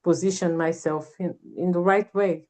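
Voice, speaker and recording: A woman's voice: on the low side, steady tone, normal speed, a little mellow. A woman whose first language is Portuguese shares a work experience on a video call, with frequent hesitations and fillers. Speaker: workshop participant.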